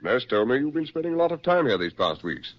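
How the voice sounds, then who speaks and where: A man acting a brisk, persuasive military psychiatrist with genteel, insistent delivery in a 1940s radio drama. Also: medium pitch, very animated. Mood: hearty, coaxing. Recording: muffled 1940s radio recording.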